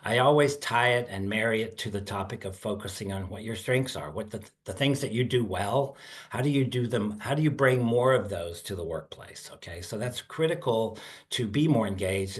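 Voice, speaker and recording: A man's voice: lowish voice, moderate pace. An older man giving a webinar presentation over a headset on a video call, earnest and full of fillers and repeated words. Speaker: guest speaker. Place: indoor room, video call.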